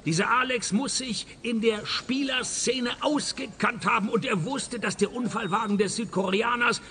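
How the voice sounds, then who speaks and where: An actor playing a laconic, world-weary private detective who narrates a hardboiled parody radio play in deadpan. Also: male voice, very high-pitched, normal speed, soft background music. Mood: dry, matter-of-fact.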